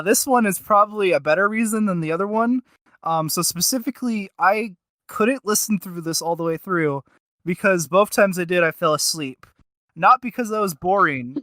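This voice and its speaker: Male podcast co-host, slightly high and forceful, casual and self-mocking. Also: moderate pace, no echo, studio quality.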